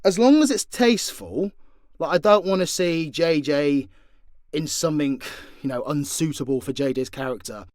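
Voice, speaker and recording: A man's voice: a little high, normal speed, a little mellow, no echo, clean studio sound. A British man answering fan questions in a casual, unscripted way, blunt and joking, with plenty of swearing. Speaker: voice actor and content creator answering fan questions.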